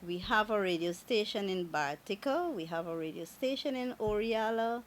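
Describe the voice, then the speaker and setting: A Guyanese woman speaks in a radio studio interview, measured and formal, at an ordinary middle pitch. A faint hiss sits under her voice. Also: lively intonation. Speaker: government minister.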